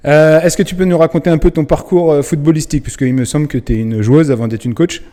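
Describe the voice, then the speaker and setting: A man's voice: on the high side, steady tone, quick pace, mellow. A man hosting a club channel interview, friendly and hesitant, with many fillers. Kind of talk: casual interview. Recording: reverberant room.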